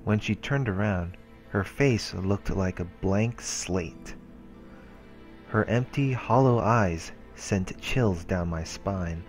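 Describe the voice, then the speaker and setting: Deep-voiced man reading game text aloud. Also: slow, soft background music. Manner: steady reading with casual asides. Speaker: let's play host.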